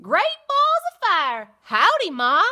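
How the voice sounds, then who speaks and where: A woman voicing an excited baby gosling with cowboy talk in a storybook reading. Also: very high voice, slow pace, voice pushed up, heavily acted.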